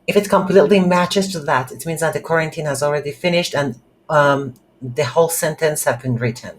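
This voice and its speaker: A non-native English speaker giving an unscripted coding tutorial, thinking aloud in a halting way while working at a computer. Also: medium pitch, a little hiss or hum. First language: not English. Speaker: programming tutorial instructor. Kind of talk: screen-recorded coding tutorial, unscripted explanation. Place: indoors at a computer.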